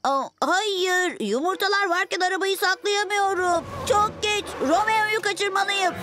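A woman voicing a timid, excitable little lizard-boy superhero in a children's cartoon dub. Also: extremely high pitch, measured pace, noisy background. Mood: worried.

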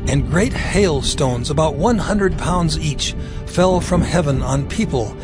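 A man narrating dramatized scripture in a solemn, deliberate manner. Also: on the high side, expressive, over music.

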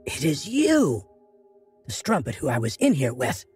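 A man's voice: mid-range voice, very animated, fairly quick, darkish tone, soft background music, natural voice. A man voicing a curious, bold female prisoner.

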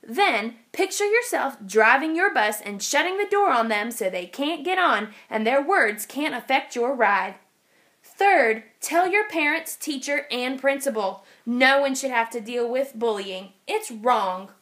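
A woman's voice: high voice, animated, unhurried, a bit bright. A woman voicing a kind female bus driver in a storybook, giving a child advice in an instructive, emphatic, encouraging manner. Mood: encouraging, firm.

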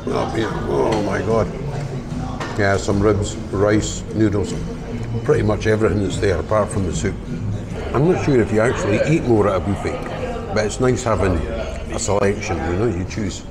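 A man's voice: fairly low pitch, normal speed, a loud crowd. A man in his sixties chatting casually over a meal as a vlogger, recorded on a clip-on mic in a restaurant. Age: 60s. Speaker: vlogger, host. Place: indoor restaurant, seated at a table. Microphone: clip-on lavalier on shirt. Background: loud music.

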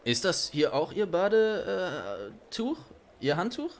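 An awkward man at a swimming pool, acted out by a man in an audio drama. His speech is halting and flustered, and his voice climbs high above an ordinary talking pitch. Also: wildly swooping pitch, full character voice. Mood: awkwardly eager. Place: small indoor studio.

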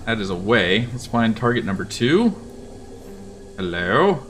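A man giving casual, unhurried gameplay commentary, thinking aloud as he plans. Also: on the low side, very animated, fairly bright tone, slight background noise. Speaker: gaming video host.

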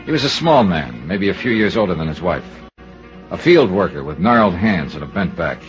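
A hard-boiled American investigator in an old radio drama. His low voice moves expressively through terse, wry lines. The recording is dull and dated. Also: sing-song, moderate pace, music in the background. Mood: grave, narrating. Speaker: lead actor and narrator. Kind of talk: 1950s radio detective acting.

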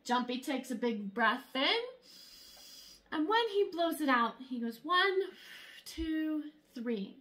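A young woman reading a children's picture book aloud in an expressive, exaggerated storytelling way. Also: sits high, measured pace, pauses often. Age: young adult. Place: small room at home, indoors.

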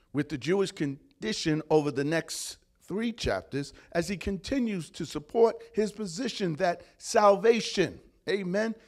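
A male pastor delivering a sermon in a slightly raised voice. He takes his time, moving through the text at an unhurried pace with an occasional "uh" and a word of praise. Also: fairly high pitch. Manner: deliberate, with fillers and restarts, calling out praise.

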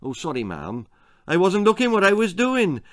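A man's voice: sits high, wildly swooping pitch, moderate pace, a bit bright, slight background noise, pitched above the performer's own voice, exaggerated voice. Man doing a whiny little boy. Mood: sheepish excuses. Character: naughty, fidgety little Welsh boy, sheepish and protesting.

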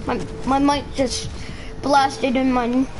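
A woman's voice: high-pitched, little pitch movement, slow, over music. A woman doing casual, rambling gameplay commentary as a YouTuber, playful and humming nonsense syllables. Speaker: gaming YouTuber.

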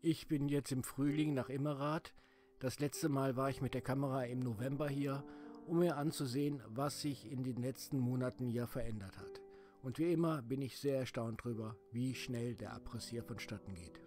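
Middle-aged guy doing calm voice-over for a travel doc, mid-pitched voice. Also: moderate pace, faint music behind. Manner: calm, explanatory. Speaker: travel video host. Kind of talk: documentary narration.

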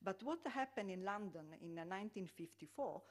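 A middle-aged Italian woman with an Italian accent giving a conference lecture, often pausing on fillers and restarting her sentences. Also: medium pitch, expressive. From Italy. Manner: hesitant, many fillers and restarts. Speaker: public health lecturer.

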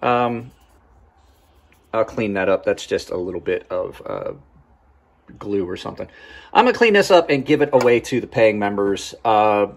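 Husky-voiced man chatting through a review. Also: on the low side, lively intonation, moderate pace, pauses often. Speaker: host of knife review channel. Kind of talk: casual product review talk to camera.

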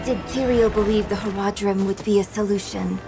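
An actress playing an earnest, determined young apprentice in a dramatic fantasy game, with a direct delivery. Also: female voice, medium pitch, steady tone, brisk, gravelly, music in the background, lightly acted.

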